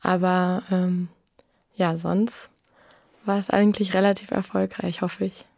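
Young woman in her twenties, student, flat monotone, dry sound. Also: fairly low pitch, unhurried, gravelly, no echo. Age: 20s. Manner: flat, plain, casual. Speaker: student, former volunteer. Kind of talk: interview answers.

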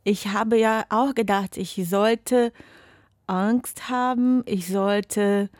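Slow, laughing young woman. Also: medium pitch, steady tone, darkish tone, dry recording, studio quality. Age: young adult. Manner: searching non-native phrasing, laughs often. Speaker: interview guest. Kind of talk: casual chat. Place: radio studio.

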